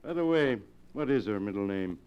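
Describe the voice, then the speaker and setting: An actor playing a suave, scheming swindler with an urbane, theatrical manner in a 1940s radio drama. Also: male voice, fairly low pitch, lively intonation, moderate pace, smooth, natural voice. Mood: resigned, wry. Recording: muffled vintage radio recording.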